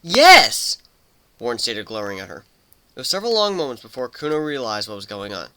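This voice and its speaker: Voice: medium pitch, very animated, quick pace, fairly bright tone. A narrator reading a scripted story in a dramatic, storytelling style. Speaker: fan fiction narrator.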